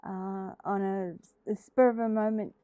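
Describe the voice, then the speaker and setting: A woman's voice: mid-range voice, fairly even intonation, a little slow, mellow, no room sound. A middle-aged Australian woman hosting a casual crafting video, chatting hesitantly with lots of ums and sounding slightly throaty from a cold. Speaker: craft channel host. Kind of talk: casual crafting tutorial chat.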